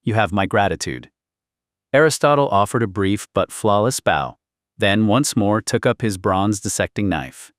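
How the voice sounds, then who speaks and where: A man's voice: lowish voice, expressive, moderate pace, dry recording, clean studio sound. A man narrating historical fiction as an audiobook, with a solemn, literary, dramatic scripted delivery.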